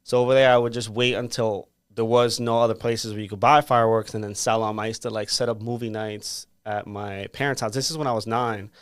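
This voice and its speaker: A man's voice: fairly low pitch, fairly quick, hardly any pauses, clean tone, no echo, studio quality. A young man from Peru, a guest entrepreneur, talking casually in an in-person interview, chatty with lots of fillers. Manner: relaxed, chatty, many "like" fillers, laughs easily. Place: co-working space conference room.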